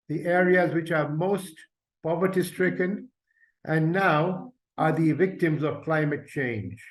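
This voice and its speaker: An older man from Uganda, an invited speaker giving an earnest, measured webinar presentation about his family's farming business. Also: on the high side, rough recording. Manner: earnest, grateful, measured storytelling. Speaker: invited speaker, company representative.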